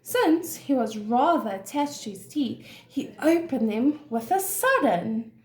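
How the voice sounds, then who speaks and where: A woman's voice: sits high, sing-song, measured pace, darkish tone, echoey room. A woman reading a children's story aloud as a nursery teacher, expressively and directly to young listeners. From South Africa.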